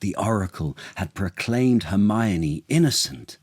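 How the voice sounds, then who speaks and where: A man reading a children's story as dramatic narration, deliberate, expressive and theatrical. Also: lowish voice, slightly rough, dry recording, studio quality.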